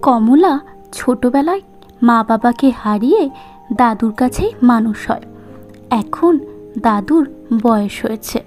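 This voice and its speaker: A woman's voice: a little high, expressive, a little slow, pauses often, a little mellow, faint music behind. A woman narrating a scripted cartoon folk story as the storyteller.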